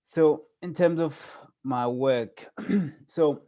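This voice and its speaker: A man's voice: a little high, very slow, warm tone. Hesitant middle-aged man lecturing online. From South Africa. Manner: hesitant, many fillers and restarts. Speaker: guest lecturer. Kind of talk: academic seminar talk over video call. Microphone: video call.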